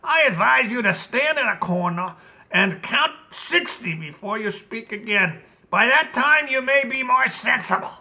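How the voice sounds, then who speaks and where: A man plays a dry, matter-of-fact gnome general for a storybook, steady and unimpressed. The reading sounds a little roomy. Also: extremely high pitch, measured pace, pitched above the performer's own voice, heavily acted. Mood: blunt. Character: blunt, cool-headed male gnome general.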